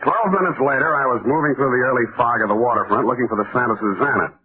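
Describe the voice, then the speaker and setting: Actor as a sardonic radio private eye, thin recording. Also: male voice, mid-range voice, fast talker, phone-quality sound, crowd noise, natural voice. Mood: dry narration. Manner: sardonic, deadpan. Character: wry, cynical American private detective narrating his case. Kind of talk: 1940s radio detective acting and narration.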